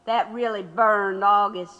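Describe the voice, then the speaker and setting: A woman's voice: medium pitch, fairly even intonation, unhurried, lo-fi sound, lightly acted. A woman playing a defiant, wry activist under interrogation in an audio drama, firm and sardonic. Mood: explanatory. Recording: long echoing room reverb.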